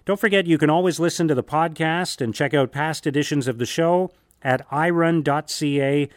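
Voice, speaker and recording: A man's voice: a little high, moderate pace, no echo, studio quality. A man hosting a radio show, chatty and upbeat, talking in long run-on sentences.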